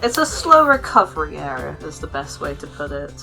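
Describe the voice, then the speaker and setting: A woman's voice: deep voice, sing-song, brisk, gravelly, bright, forceful tone, soft background music, rough recording. A woman co-hosting a casual opinion chat, speaking informally with somewhat hesitant phrasing. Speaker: co-host.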